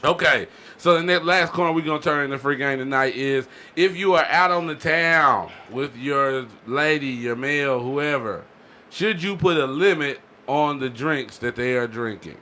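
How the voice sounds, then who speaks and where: A man's voice: mid-range voice, normal speed, bright, forceful tone. A man hosting a casual group chat in a slangy way, often checking in with his listeners. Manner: slangy, peppers talk with check-in phrases. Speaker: podcast host.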